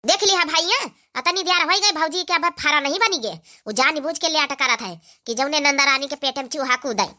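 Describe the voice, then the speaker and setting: Woman as a high, sharp, spiteful cartoon in-law. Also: a little fast. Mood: snappish, complaining. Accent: Awadhi. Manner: complaining, snappish. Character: spiteful, complaining village women of a meddling in-law household. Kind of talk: comedy cartoon dialogue.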